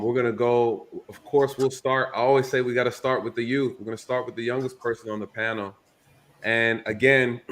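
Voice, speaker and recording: A man's voice: medium pitch, fairly bright tone. Easygoing man in his thirties moderating. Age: 30s. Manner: loose, joking, many fillers. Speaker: panel moderator. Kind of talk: casual panel discussion.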